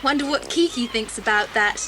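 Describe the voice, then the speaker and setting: A brisk, sensible young girl acted by a woman in a children's adventure drama. Her voice is high and animated, with clear noise behind it on an old cassette. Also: close to an everyday voice. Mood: alert, practical. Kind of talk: children's audio drama acting.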